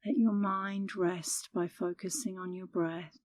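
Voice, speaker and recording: Low-pitched woman guiding a calm meditation. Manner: calm, soothing, unhurried. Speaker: meditation instructor. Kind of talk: guided meditation narration.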